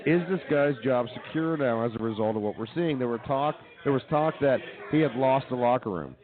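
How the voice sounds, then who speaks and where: A male radio host in loose sports-talk banter, with an average-pitched voice. The sound is narrow and tinny, as if it came down a phone line. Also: lively intonation, fairly quick, a crowd in the background. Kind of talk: casual sports and comedy banter.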